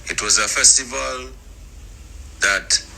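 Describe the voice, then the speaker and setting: A man who says he is a native Hebrew speaker, teaching scripture to listeners in a deliberate, halting delivery of short phrases. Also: medium pitch, gravelly. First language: Hebrew, as the speaker states. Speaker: religious teacher. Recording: steady background noise.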